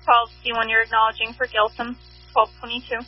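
A woman's voice: on the high side, completely flat delivery, brisk, soft background music. A woman working as a fire dispatcher, reading back units and times formally over a two-way radio. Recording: muffled, husky dispatch radio audio with hum.

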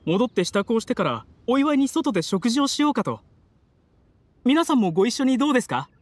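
A man's voice: extremely high pitch, big pitch swings, fairly quick, a little hiss or hum. A man voicing a polite, modest young scholar who speaks formally and courteously in a Japanese video game. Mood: polite, humble.

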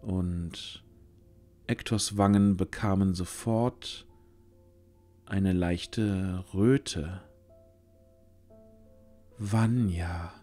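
A man's voice: deep voice, fairly even intonation, drawn-out words, long pauses, dark tone, soft background music. A man narrating a scripted fantasy audio drama as its storyteller.

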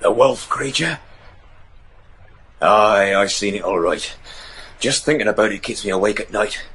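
An actor playing a gruff, plain-spoken old gamekeeper with a rural English accent in a gothic radio drama. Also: male voice, on the low side, measured pace, fairly bright tone, slight background noise. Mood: grim, wary.

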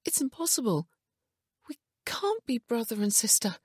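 A woman's voice: high voice, very animated, a little slow, no echo, studio quality, voice pushed up. A woman voicing an anxious, frightened wife in an audiobook, with a tremulous, pleading manner. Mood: shocked, distraught. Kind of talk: audiobook character dialogue.

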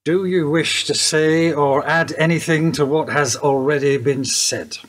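Radio play role: world-weary detective sergeant nearing retirement, dry, fairly high-pitched male actor. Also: fairly even intonation, unhurried, a bit bright. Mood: formal. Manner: dry, understated. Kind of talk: naturalistic radio drama acting.

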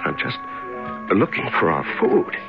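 An actor playing a lonely, despairing prisoner in a 1970s radio drama, musing tenderly and haltingly to himself and a small companion. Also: male voice, medium pitch, wildly swooping pitch, vocal fry, exaggerated voice. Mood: searching, wondering. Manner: halting, musing, repeats words, talks to himself. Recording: dull 1970s radio recording with music.

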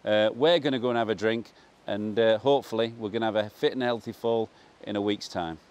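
A man's voice: on the low side, fairly even intonation, brisk, darkish tone, muffled recording. A man in his fifties with a Yorkshire accent, a farmer presenting an informal vlog in a plain, matter-of-fact way. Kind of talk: informal vlog explaining animal care to camera. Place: large open-sided straw-bedded barn.

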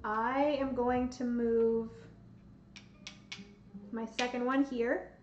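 A young woman, losing her voice, slowly talks herself through a solo board game at home, counting out pieces as she plays. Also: on the high side, level tone, a little hiss or hum. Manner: slow, thinking aloud, counting pieces. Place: home room with bookshelves, indoors. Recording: roomy, slightly dull sound, soft music.

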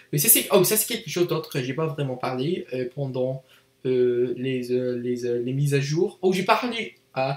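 A man's voice: mid-range voice, darkish tone, echoey room. A young man from Canada, a native English speaker, talking to camera in hesitant learner's French with an anglophone accent, full of fillers and self-corrections. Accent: English-speaking Canadian learner of French, with some Quebec French. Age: young adult. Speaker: vlogger. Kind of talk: unscripted casual monologue to camera. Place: small indoor room, seated in desk chair.

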